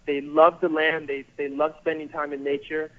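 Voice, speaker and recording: A man's voice: a little high, flat delivery, moderate pace. A man in his thirties, a photographer guest, talking earnestly and rambling a little, repeating words as he goes. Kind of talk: casual interview over the phone. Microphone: phone. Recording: tinny phone line.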